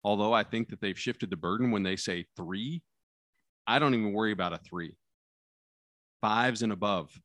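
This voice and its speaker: Lower-pitched man with a carrying voice. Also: normal speed, pauses often, no room sound. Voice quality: loud, carrying voice. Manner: animated storyteller, acts out courtroom lines, drops voice low for effect. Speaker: criminal defense lawyer. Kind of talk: casual conversation about trial practice.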